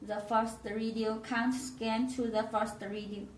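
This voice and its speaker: A woman giving a step-by-step product tutorial as a demonstrator, with halting non-native phrasing. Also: a little high, level tone, a little hiss or hum. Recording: roomy echo, distant microphone.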